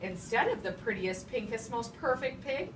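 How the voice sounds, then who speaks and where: A woman's voice: on the high side, expressive, normal speed. A woman teacher reading aloud to young children and giving them instructions, with simple repeated phrases and emphasized key words. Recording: echoey, noisy classroom, far microphone. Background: young children talking, room noise.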